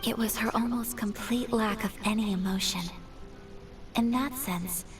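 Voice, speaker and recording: A woman playing a gracious, earnest young noblewoman in a game, speaking in a formal, measured and refined way. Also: fairly high pitch, recorded from a distance, over music, lightly acted. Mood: solemn. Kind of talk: scripted game dialogue.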